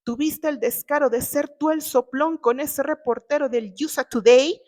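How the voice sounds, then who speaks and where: A woman's voice: high voice, moderate pace, a little mellow, pitched above the performer's own voice, lightly acted. A woman voicing a proud, wronged young man in a dramatized novel reading, challenging and indignant. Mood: suspicious, confronting. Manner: challenging, interrogating.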